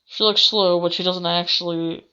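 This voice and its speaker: A woman's voice: on the low side, steady tone, moderate pace, bright, forceful tone, clean tone, muffled recording. A woman gamer reading visual novel narration aloud, with casual asides of her own. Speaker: gamer doing a let's play. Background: game music.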